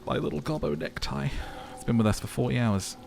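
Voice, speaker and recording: A man's voice: medium pitch, fairly quick, creaky, a little mellow, soft background music. A man doing a let's play, narrating the game dramatically and dropping into casual, sweary commentary. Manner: dramatic storytelling mixed with casual, sweary asides. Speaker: let's player. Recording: faint background game noise.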